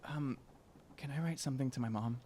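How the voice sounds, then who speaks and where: Male tabletop player, deadpan and hesitant casual improviser, middle pitch, faint noise behind him. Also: brisk.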